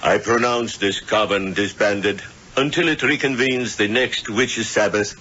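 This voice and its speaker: A suave doctor leading a witches' coven, speaks in a forceful, fairly low voice. He is formal and ceremonious, in old radio-play manner, on a dull, hissy recording. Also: a little slow, husky, full character voice. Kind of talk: old-fashioned radio drama acting.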